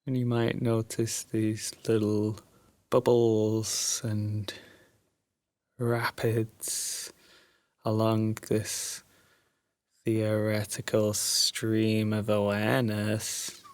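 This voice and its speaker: A man guiding a sleep meditation in a soft, drowsy, soothing voice with long pauses, at times breathy and close to a whisper. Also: on the low side, completely flat delivery, no echo. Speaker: meditation guide. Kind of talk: guided sleep meditation, Yoga Nidra.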